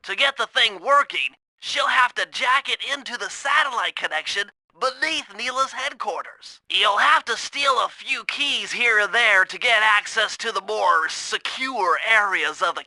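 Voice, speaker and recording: A brainy young cartoon turtle, with a very high, bright nasal voice. He lays out heist plans for a video game in an eager, precise and earnest way, sounding close and dry. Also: lively intonation, measured pace, few pauses, dry recording, heavily acted. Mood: brisk, instructing. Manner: precise, technical, eager mission briefing. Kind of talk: animated video game voice acting.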